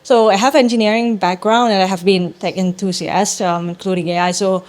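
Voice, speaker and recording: Young woman presenting to a crowd, mid pitch, lots of ums, steady explaining. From Thailand. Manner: measured and explanatory, frequent ums, non-native grammar. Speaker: startup co-founder giving a talk. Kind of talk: presentation to a live audience.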